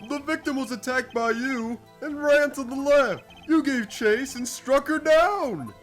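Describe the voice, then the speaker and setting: A man's voice: very high voice, a little slow, soft background music. A man voicing a pompous, smug company president who mangles big words in an amateur game fandub. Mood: self-important testimony. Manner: pompous, affected, stretching out invented fancy words.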